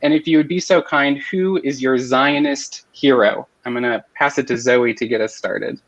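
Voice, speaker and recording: A man moderating an online panel, speaking steadily with frequent pauses and fillers. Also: mid-range voice, fairly bright tone, no room sound, dull audio.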